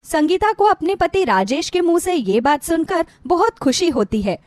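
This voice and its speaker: Female storyteller, high pitch, animated intonation, expressive scripted narration. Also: moderate pace, no room sound, clean studio sound.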